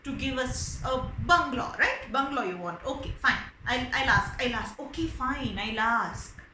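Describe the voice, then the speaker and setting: A woman's voice: high voice, animated, moderate pace, bright, forceful tone, reverberant, slight background noise, pitched below the performer's own voice. A woman voicing a meek, humble, henpecked fisherman in a storybook tale, with a placating, polite manner.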